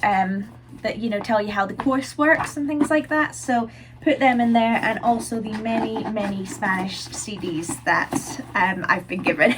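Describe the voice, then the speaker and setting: A woman's voice: a little high, a little hiss or hum. Chatty young woman, stuffy-nosed. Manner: chatty, lots of "like" and "um". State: stuffy nose from a cold. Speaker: vlogger and university student. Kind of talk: casual chatty vlog. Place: her room at home.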